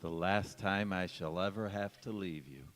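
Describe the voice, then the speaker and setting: A man's voice: deep voice, normal speed, a bit bright. A man acting a devoted young bridegroom, earnest and courtly, in an old-time radio romance on a stage. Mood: ardent. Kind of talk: golden age radio drama acting. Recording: echoey church hall stage recording.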